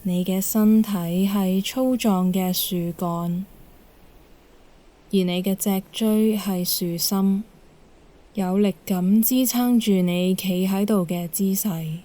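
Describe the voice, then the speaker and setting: A woman leads a guided meditation as an instructor, calm and measured, giving gentle instructions with pauses. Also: mid-range voice.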